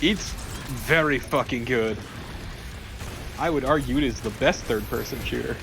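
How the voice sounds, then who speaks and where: A man's voice: medium pitch, moderate pace, gravelly, noisy background. A man co-hosting a let's play, chatting casually in a blunt, dry, sweary manner. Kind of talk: casual gameplay chat.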